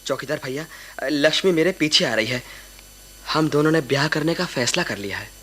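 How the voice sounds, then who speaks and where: A man's voice: a little high, animated, moderate pace, pushed and bright. An actor playing an ardent, reassuring young man from a monastery in a Hindi radio play. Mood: earnest. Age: young adult.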